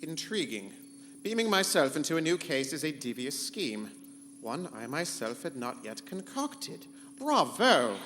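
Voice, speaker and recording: Casting: male actor as rogue spaceship computer, haughty and scheming, higher-set theatrical delivery with swooping intonation, live hall. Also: sing-song, measured pace, a bit bright. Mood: smug intrigue. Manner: pompous, theatrical, formal wording. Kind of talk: live comedic sci-fi radio play in 1940s serial style. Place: convention hall stage before live audience. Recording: echoey live hall, humming background noise.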